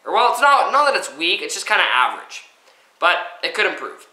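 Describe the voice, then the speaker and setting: A man's voice: high voice, big pitch swings, fairly quick, pushed and bright. A teenage boy co-hosting a casual hockey chat, rambling and joking with lots of fillers. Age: teens. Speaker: co-host. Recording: echoey room, far from mic.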